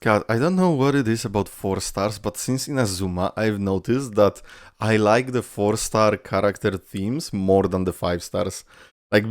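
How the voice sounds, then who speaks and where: Low, gravelly Polish man reacting casually. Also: no room sound, studio quality. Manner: rambling, trailing off, repeating words. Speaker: YouTube reaction host. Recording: music playing behind. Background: game video music.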